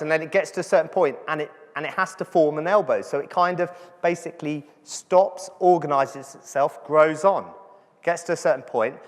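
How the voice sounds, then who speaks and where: A man's voice: on the high side, a bit bright. A man, a doctor, giving a chatty, joking conference lecture to physiotherapists and checking in with the audience. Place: large conference hall. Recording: hall reverb from the venue.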